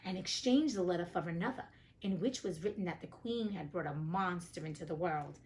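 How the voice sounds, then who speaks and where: A woman's voice: on the low side, brisk, a little mellow, slight background noise, low-quality recording. A woman hosting a storybook reading, moving between narration and casual, outspoken, colloquial asides. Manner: sassy, outspoken, colloquial commentary. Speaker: host reading classic tales aloud.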